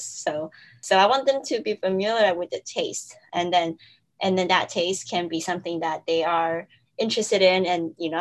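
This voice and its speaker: Woman, Mandarin native, fairly low voice, thoughtful, laughs often, casual interview. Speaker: interview guest, mother.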